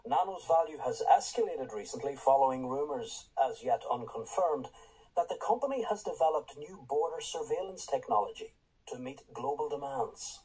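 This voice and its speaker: A man's voice: mid-range voice, expressive, normal speed, warm tone, breathy edge, rough recording. An actor playing a formal male radio news reader in a drama, delivering a scripted bulletin.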